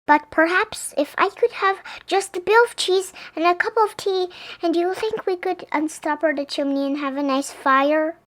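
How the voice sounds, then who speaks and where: A woman voicing a small, polite male mouse in a storybook, courteous, apologetic and gently persistent. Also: very high-pitched, moderate pace, pitched above the performer's own voice. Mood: politely hopeful.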